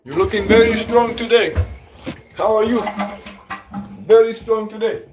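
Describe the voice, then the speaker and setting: A man doing a pushy, high Indian-accented vendor. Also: fairly even intonation, measured pace, noisy background. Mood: pushy cheerful. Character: pushy friendly male street vendor, haggling.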